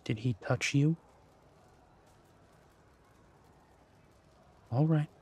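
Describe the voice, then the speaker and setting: An actor playing a ruthless, possessive villain duke of low birth in an audio roleplay, with menacing, deliberate phrasing. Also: male voice, darkish tone, breathy edge, natural voice. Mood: tender, dangerous.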